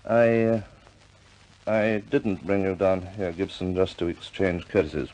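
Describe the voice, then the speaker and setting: An actor playing a middle-aged senior RAF commander in a 1950s British war film, speaking in a measured, clipped British accent with firm but kindly authority. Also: male voice, sits low, a little mellow, rough recording. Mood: firm, formal.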